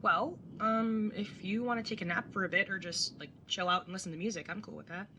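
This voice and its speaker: A woman's voice: medium pitch, a little fast, sharp tone, a little hiss or hum, poor audio. A young woman playing a caring, slightly awkward and wry older sibling in a casual audio drama. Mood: gentle, concerned. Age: young adult. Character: awkward, caring older sibling, early twenties, tattooed, teasing. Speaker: narrator and lead character. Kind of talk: audio drama acting with first-person narration.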